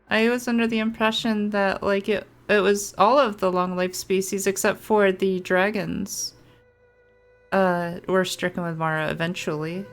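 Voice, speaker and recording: A woman game streamer chatting casually as she plays, playful and often reading game text aloud. Her pitch is average and faint music runs behind her. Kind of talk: casual gameplay commentary.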